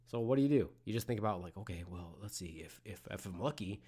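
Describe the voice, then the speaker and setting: Brief: man in his forties, podcast host, low-ish voice, swears freely, shifts between loud outbursts and quiet reflection. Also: lively intonation, fast, slightly rough. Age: 40s. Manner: frank and profane, swinging from loud outbursts to quiet musing. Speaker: podcast host in the medical field. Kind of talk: casual solo podcast monologue.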